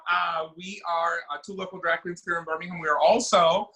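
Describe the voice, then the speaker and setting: Guy in his 30s, bright sharp voice, rambling chatty with lots of uhs. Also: a little high, little pitch movement, fairly quick, low-quality recording. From Venezuela. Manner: talkative, many fillers and restarts. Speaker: drag queen and event producer. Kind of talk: casual interview chat.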